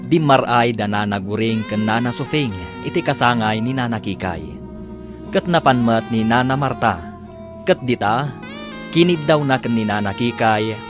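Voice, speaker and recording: Ilocano radio drama narrator over music. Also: male voice, medium pitch. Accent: Ilocano, northern Philippines. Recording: music bed under the voice.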